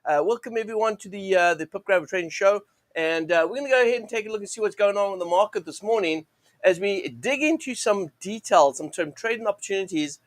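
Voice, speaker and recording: A man hosting a live trading show, speaking with a croaky, sore throat in a rambling way, full of fillers and restarts. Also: high-pitched, expressive, fast talker, dry recording. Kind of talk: live-stream teaching monologue.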